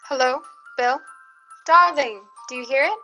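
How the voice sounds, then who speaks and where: Young woman as a high, cooing sweetheart over music box. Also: measured pace, sharp tone. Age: young adult. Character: sweet, doting sweetheart's recorded ghostly voice. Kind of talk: 1940s suspense radio acting. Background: tinkling music box.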